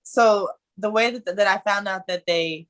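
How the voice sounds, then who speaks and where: A woman's voice: mid-range voice, measured pace, a bit bright. A woman in her twenties from Ohio, animatedly telling a story as a guest in a casual conversation.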